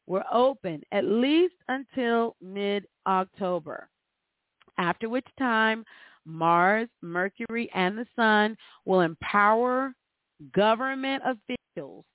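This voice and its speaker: A woman's voice: mid-range voice, slow pace, dry recording. A woman hosting a spiritual forecast, speaking earnestly and urging her listeners on with emphatic repetition. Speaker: host of astrology forecasts. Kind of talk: spiritual commentary with anecdotes. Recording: thin, narrow phone-like sound.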